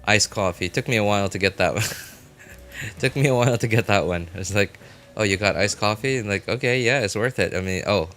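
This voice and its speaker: Male game streamer, lower-pitched, casual and informal, thinks out loud to chat over music. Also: fairly quick.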